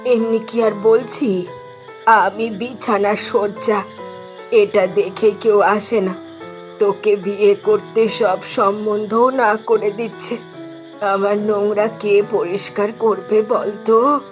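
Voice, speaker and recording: A woman's voice: medium pitch, steady tone, takes it slowly, soft background music, natural voice. A woman playing a frail, bedridden old mother in a cartoon, plaintive and resigned. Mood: self-pitying, resigned.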